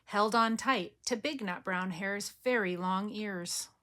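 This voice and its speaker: Slow, gentle grandmother reading. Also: medium pitch. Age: older. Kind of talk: bedtime storybook reading.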